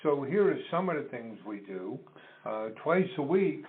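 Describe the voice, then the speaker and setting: A middle-aged or older man, explaining his business in an interview in measured, deliberate phrases. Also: medium pitch, big pitch swings, a little hiss or hum. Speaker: business co-founder being interviewed. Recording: dull phone-like call audio.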